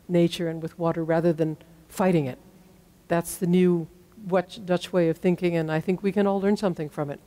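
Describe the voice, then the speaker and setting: A middle-aged woman closes a lecture to a hall audience in an earnest, measured way. Her voice sits low for a woman and has a mellow, warm tone. She speaks into a podium microphone. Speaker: author giving a talk. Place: large hall with stage.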